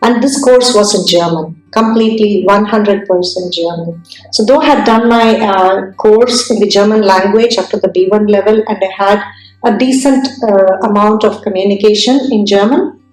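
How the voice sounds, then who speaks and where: A woman from India with an Indian accent, telling her career story in an interview, speaking thoughtfully with many pauses and restarts. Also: medium pitch, darkish tone, roomy sound, faint music behind, low-quality recording. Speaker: entrepreneur guest.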